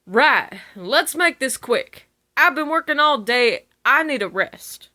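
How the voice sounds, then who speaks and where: Girl voicing a blunt folksy farm pony big sis, high voice that swings a lot, fan audio drama. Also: lively intonation, takes it slowly, bright, forceful tone, heavily acted. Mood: tired, uneasy. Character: grown pony farm mare, weary big sister turning frightened. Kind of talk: amateur audio drama acting.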